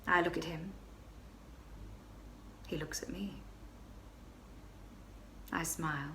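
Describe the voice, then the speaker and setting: A woman reads as a young baroness in a storybook tale, confiding a scandal in a breathless, stammering rush. Her voice swoops high and drops low as she tells it. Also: expressive, normal speed, fairly bright tone, slight background noise. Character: flustered young married baroness, female.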